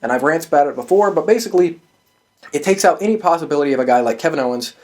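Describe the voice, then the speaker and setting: A man's voice: fairly high pitch, expressive, very fast, a little mellow. A man hosting a show, giving casual, opinionated sports commentary in an emphatic, sweary way. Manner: profane and emphatic. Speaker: host of a wrestling prediction show.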